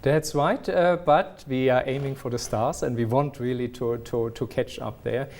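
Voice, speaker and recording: Middle-aged man, executive, non-local accent, earnest, restarts mid-sentence, average pitch. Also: moderate pace, a little mellow. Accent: non-South African. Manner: earnest, searching for words, frequent restarts. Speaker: company executive. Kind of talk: business news interview.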